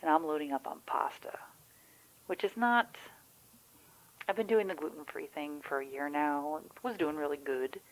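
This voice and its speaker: A woman's voice: low-pitched, normal speed, natural voice, low-quality recording. A woman playing a chatty, wry, anxious friend in a naturalistic audio drama, rambling candidly with the odd swear. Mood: resigned worry. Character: chatty, wry, anxious Michigan woman on the phone during zombie outbreak.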